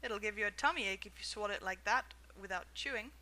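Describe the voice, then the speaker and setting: A woman voicing a fat, kindly male shopkeeper in a storybook reading, who yells when excited. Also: fast talker, lightly acted. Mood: pleasant. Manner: booming excited yelling when thrilled.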